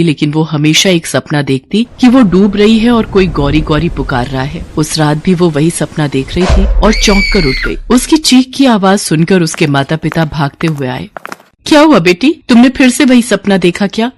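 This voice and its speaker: A woman's voice: lowish voice, expressive, normal speed, few pauses, a little hiss or hum. A woman reading a scripted story as a dramatic storyteller. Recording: music behind the voice. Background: background music.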